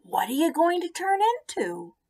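A woman voicing an eager, innocent young male polliwog in a storybook, excitable and full of curiosity. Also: extremely high pitch, moderate pace, pitched above the performer's own voice. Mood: eager, curious. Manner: excitable, wide-eyed delivery.

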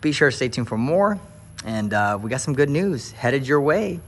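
A man's voice: mid-range voice, very animated, normal speed, husky. A man touring a new house for viewers, then preaching in an upbeat, hyped way. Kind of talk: casual house-tour vlog turning to preaching. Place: empty new house, then its covered back patio.

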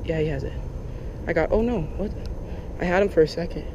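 A man's voice: fairly high pitch, brisk, dull audio, background noise. Chatty young man fishing outdoors. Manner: chatty, excitable, lots of "like" and "oh my gosh". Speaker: fishing vlogger. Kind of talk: casual running commentary while fishing. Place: outdoors on a lake bank in Florida. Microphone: head-mounted action camera.

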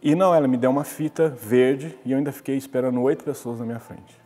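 A man's voice: mid-range voice, fast talker, dark tone, breathy edge. A man tells his story in an easy, conversational way, often restarting his sentences. His voice carries a long reverb from a hall, and faint music plays underneath. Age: 40s. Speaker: interviewee. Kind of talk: personal account in a TV interview. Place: glass-walled lobby, indoors.

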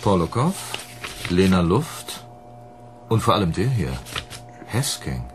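An actor playing a menacing police inspector in a German radio drama, formal, sardonic and commanding. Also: male voice, low voice, sing-song, takes it slowly, faint music behind, heavily acted. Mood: sardonic, accusing.

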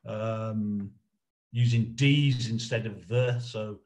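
Male lecturer, middle-aged, talking slowly and haltingly through an informal history presentation on a video call. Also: lowish voice, a little mellow. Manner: halting, hesitant, explanatory. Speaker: lecturer, researcher presenting a talk.

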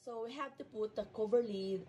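A woman's voice: a little high, a little hiss or hum. A woman with a Filipino accent narrating casually as she bakes a cake in her home kitchen, mixing English and Tagalog. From Philippines. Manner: slow, mixes English and Tagalog, relaxed step-by-step commentary. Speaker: home baking vlogger.

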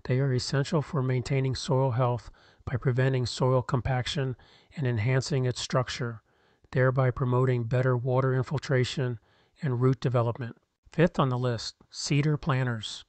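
A man's voice: mid-range voice, flat delivery, moderate pace, darkish tone, dry recording. Man narrating in a dry voice-over. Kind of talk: scripted countdown narration. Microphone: voice-over, close mic.

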